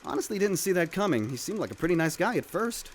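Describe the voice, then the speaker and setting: A man's voice: sits high, very animated, fast talker, a little hiss or hum. A man voicing a cocky, bumbling young defense attorney with flippant bravado in a comedic cartoon parody. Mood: casual recounting. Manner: flippant, sarcastic, stammering bravado.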